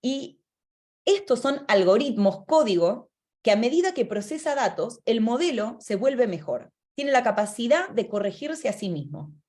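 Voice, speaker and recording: A woman's voice: a little high, big pitch swings, moderate pace, darkish tone. An Argentine woman presenting a webinar talk over a video call. She explains ideas at length with fillers and self-corrections. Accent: Argentine Spanish. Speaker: webinar speaker.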